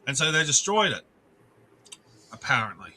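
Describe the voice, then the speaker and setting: Bright-voiced man ranting on a live stream. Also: medium pitch, very animated, normal speed. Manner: rambling, restarts sentences, swears, greets chat.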